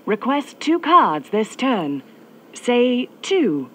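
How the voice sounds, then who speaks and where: An actress playing a calm female mission handler, speaking measured instructions to an agent over an earpiece. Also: a little high, a little hiss or hum, exaggerated voice. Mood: composed. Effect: earpiece radio.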